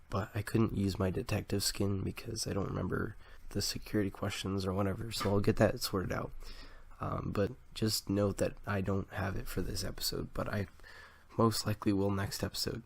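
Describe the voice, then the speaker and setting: A man's voice: on the low side, flat delivery, brisk, vocal fry. A young man hosting a Minecraft series in casual chat, playing a bossy, exasperated detective with lots of ums and uhs. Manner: filler-heavy, exasperated bossy detective act. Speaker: channel host and Minecraft roleplayer. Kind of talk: casual let's-play chat and improvised roleplay.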